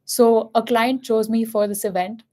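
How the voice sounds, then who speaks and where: A woman's voice: on the high side, fairly even intonation, moderate pace, dark tone. A young woman from India with a light Indian accent, talking in an earnest, candid and reflective casual chat. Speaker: host and MC.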